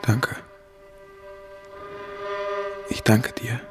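An actor playing a sick, secretive man in a radio drama, weak and raspy as he gives instructions. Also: unhurried, a bit bright, lightly acted. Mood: grateful. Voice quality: raspy, creaky. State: weak, feverish. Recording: music behind the voice.

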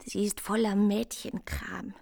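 Young fiancee in a radio drama, soft intimate hush, tender and a bit fearful. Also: female voice, mid-range voice, flat delivery, measured pace. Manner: intimate, confiding, often breathy and hushed. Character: tender, anxious young bride confiding in her beloved. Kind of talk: literary German radio drama acting.

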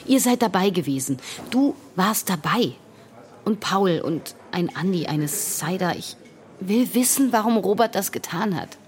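An actress playing a grieving, insistent young woman in a naturalistic radio drama, speaking earnestly and haltingly. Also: medium pitch, lively intonation, a little mellow, natural voice. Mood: hurt, pleading. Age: young adult.

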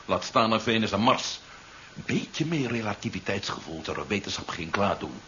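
Urgent investigator, dramatically varied pitch. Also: male voice, very animated, moderate pace, bright, forceful tone. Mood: urgent warning. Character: urgent, grave male investigator warning of alien invasion. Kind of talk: 1970s science fiction radio play acting. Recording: noisy, dull 1970s radio recording.

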